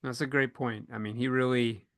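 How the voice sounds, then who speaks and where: A man's voice: mid-range voice, steady tone, normal speed, no room sound. A man hosting a casual panel discussion, feeling his way through his phrasing as he talks. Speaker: podcast host moderating a panel.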